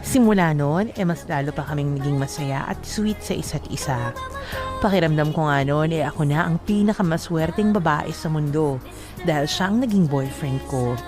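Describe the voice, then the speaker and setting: A woman's voice: deep voice, normal speed, natural voice. A woman narrating in first person as a young woman recalling her romance, reflective and wistful. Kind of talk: dramatic storytelling narration. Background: background music.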